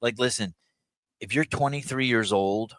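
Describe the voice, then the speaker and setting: A man's voice: mid-range voice, a little slow, fairly bright tone, no room sound, clean studio sound. A man hosting a podcast, chatting crudely and jokingly and reading out chat comments. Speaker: podcast host.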